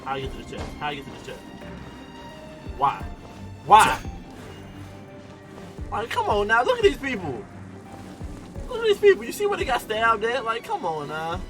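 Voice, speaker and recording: A man doing casual gameplay reaction commentary as a streamer, excitable and slangy, often repeating his phrases. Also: sits high, normal speed, pauses often. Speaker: gaming streamer. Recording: loud game music behind voice. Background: video game audio and music.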